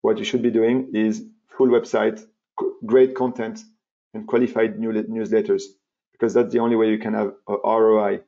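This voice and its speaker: A man's voice: lowish voice, little pitch movement, moderate pace, mellow. A man, presenting a marketing webinar in an emphatic, repetitive way with many fillers and restarts. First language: French. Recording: dull, muffled webinar audio.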